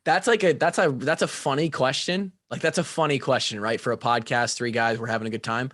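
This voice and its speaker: A young man on a podcast, excitable and full of slang, drifting from one thought to the next. His voice sits mid-range for a man, recorded close and dry. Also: mid-range voice, a little fast, dry recording. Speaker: podcast co-host. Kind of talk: casual chat.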